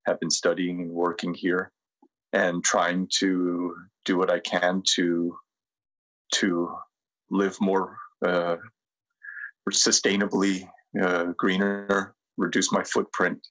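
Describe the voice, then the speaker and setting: A middle-aged American man speaking reflectively as a podcast guest, measured and deliberate, with pauses. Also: deep voice, completely flat delivery, fairly bright tone, rough recording. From United States. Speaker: podcast guest, sustainability consultant. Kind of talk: reflective interview talk and guided presentation.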